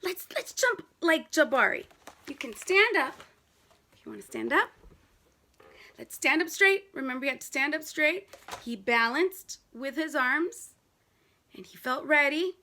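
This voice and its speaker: A woman's voice: sits high, expressive, unhurried, long pauses, sharp tone. A woman reading a picture book aloud to young children, asking them questions and repeating key words for emphasis.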